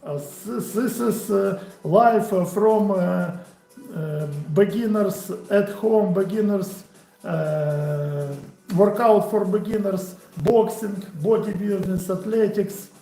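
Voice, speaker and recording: A man's voice: high voice, takes it slowly, vocal fry, warm tone, roomy sound, faint music behind, poor audio. A man in his forties with a Ukrainian accent, a boxing coach chatting casually with viewers on a home live stream. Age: 40s. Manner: casual, friendly, answers chat questions off the cuff. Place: room at home.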